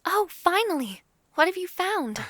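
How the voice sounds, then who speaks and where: A woman playing an ailing, earnest young dragon-blooded noblewoman in a fantasy audio drama, speaking formally and haltingly. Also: extremely high pitch, big pitch swings, a bit bright, no room sound, clean studio sound, full character voice. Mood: eager.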